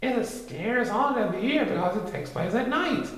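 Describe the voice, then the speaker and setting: Man as a kindly storybook boy, high-pitched, eager, gentle, strong room echo. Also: brisk. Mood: excited. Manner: excited, gentle. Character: eager, kindly young boy explaining things.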